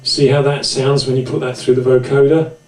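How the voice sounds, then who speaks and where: A man's voice: mid-range voice, level tone, a little fast, over music. Echoey, distant man presenting a tutorial. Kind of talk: informal hands-on tutorial narration. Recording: roomy echo, distant microphone.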